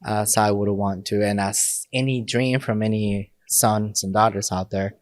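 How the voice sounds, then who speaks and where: Relaxed low-voiced Mexican priest. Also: male voice, a little slow, dry recording, clean studio sound. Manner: relaxed, laughs often, speaks in short phrases. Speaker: priest, podcast guest. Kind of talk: casual interview talk.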